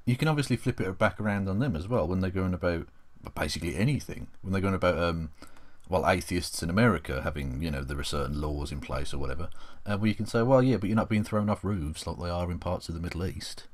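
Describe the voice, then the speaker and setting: Male co-host, low register, emphatic exasperated delivery in informal debate. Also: fast, dry recording. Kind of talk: casual opinionated discussion.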